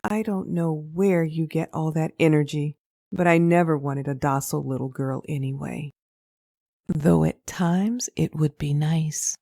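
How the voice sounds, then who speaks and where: A woman acts out a loving young child's mother in a comic dub. She coos softly and unhurriedly, her low-set voice mellow and a little creaky. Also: unhurried, no room sound, clean studio sound, full character voice. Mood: tender. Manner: soft, affectionate, cooing. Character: tender, doting mother of a small girl.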